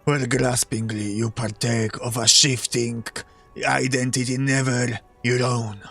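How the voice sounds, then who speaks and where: A male streamer with a creaky, gravelly edge reads game dialogue aloud and chats casually with viewers at a mid pitch. Faint music plays under his voice. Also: level tone, unhurried, fairly bright tone.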